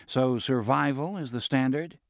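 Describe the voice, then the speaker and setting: A man's voice: mid-range voice, a little slow, vocal fry, no room sound, studio quality, pitched above the performer's own voice. A man reading a brash, greedy lawyer. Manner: blunt and needling, swears casually. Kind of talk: audiobook dialogue reading.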